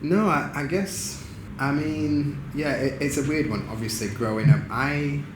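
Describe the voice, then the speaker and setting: A man's voice: a little high, a little slow. A man from Huddersfield, chatting casually as an interview guest with lots of fillers. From Huddersfield, England. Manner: relaxed, rambling, lots of fillers and "do you know what I mean". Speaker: photographer guest. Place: studio room. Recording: echoey room with clear background noise.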